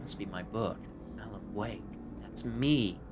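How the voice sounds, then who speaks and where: A man's voice: on the low side, measured pace, over music. A man livestreaming a video game with casual commentary, chatting to viewers in a rambling way with jokey asides.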